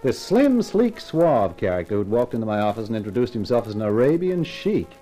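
An actor playing a cocky, wisecracking hard-boiled private eye with a breezy, wry delivery in a 1950s radio drama. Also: male voice, lowish voice, sing-song, brisk, warm tone, soft background music. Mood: wry, musing. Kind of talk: 1950s radio detective acting with narration.

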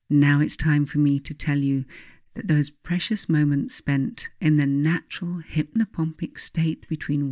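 A woman reading her own spiritual self-help book as scripted narration, speaking deliberately and earnestly straight to the listener. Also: deep voice, warm tone, dry recording, studio quality.

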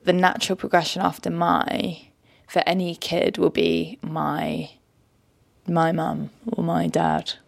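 Woman, documentary voice, slow and halting, gravelly fry, dry close sound. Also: lowish voice, level tone, no room sound. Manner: halting, reflective. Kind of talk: intimate unscripted documentary conversation.

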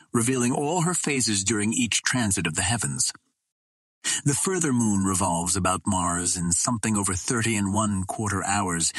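Low, husky male audiobook narrator. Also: a bit bright, no room sound. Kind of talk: scripted narration of a pulp adventure novel.

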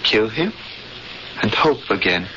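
A man's voice: fairly low pitch, sing-song, takes it slowly, sharp tone, exaggerated voice. An actor in a 1970s radio drama playing an earnest, fatalistic alien prisoner, a formal, solemn and literal-minded man. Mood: earnest, resolute. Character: earnest, fatalistic male alien prisoner, devout would-be rebel. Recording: dull, noisy vintage radio broadcast.

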